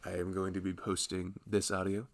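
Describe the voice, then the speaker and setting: A low-voiced man with a gravelly fry rambles casually, as if making it up as he goes. He is self-deprecating and informal, talking like a creator addressing his listeners. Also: monotone, a little fast. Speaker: audio roleplay creator. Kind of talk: casual rambling improvised intro.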